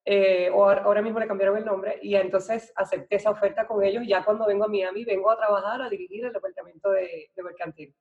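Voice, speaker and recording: A woman's voice: mid-range voice, fairly even intonation, fast, few pauses, narrow, tinny audio. A middle-aged woman with a Puerto Rican accent telling her story conversationally as a podcast guest, chatty and mixing in English business terms. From Puerto Rico. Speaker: podcast guest, real estate and banking professional.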